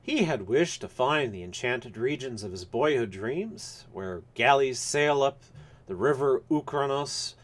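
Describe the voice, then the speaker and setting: Male story reader, mid-range voice, formal and measured, dramatic delivery for literary horror. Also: medium pitch, fairly bright tone.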